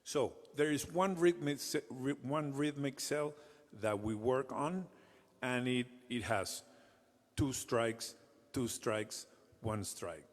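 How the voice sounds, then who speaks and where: A man's voice: mid-range voice, slow. Man teaching rhythm in an echoey hall. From Venezuela. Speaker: percussion teacher, guest performer. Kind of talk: explaining a rhythm to children.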